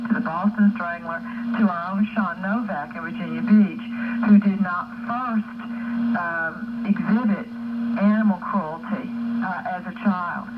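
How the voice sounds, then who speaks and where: A woman giving a halting, deliberate interview answer in a very noisy, phone-like radio soundbite. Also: lowish voice. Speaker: animal welfare executive director.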